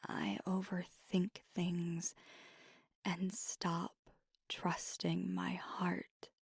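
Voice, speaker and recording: A woman performs a tender, nervous vampire girlfriend in an ASMR roleplay. Her voice is very breathy and mostly whispered, with heavy creak at the edges. She confides slowly and haltingly, very close to the microphone. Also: on the low side, monotone, no echo, exaggerated voice. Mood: anxious, confiding. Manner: hesitant, halting, earnest confiding. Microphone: close, dry microphone.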